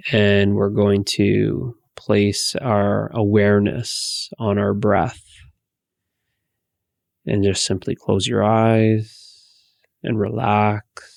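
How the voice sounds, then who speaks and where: A man leading a guided meditation in a soothing, hushed manner, giving gentle instructions with long pauses. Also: on the low side, level tone, gravelly, dry recording.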